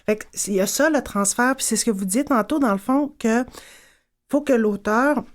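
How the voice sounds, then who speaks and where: A woman with a Québécois accent, a children's literature specialist, explaining her views in a measured, fluent way on a podcast panel. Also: fairly high pitch.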